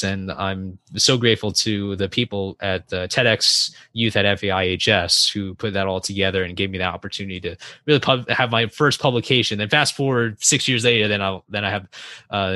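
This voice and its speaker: A young man in his twenties, a podcast guest talking casually, with many restarts and soft laughs. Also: fairly low pitch, no room sound. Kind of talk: casual interview chat.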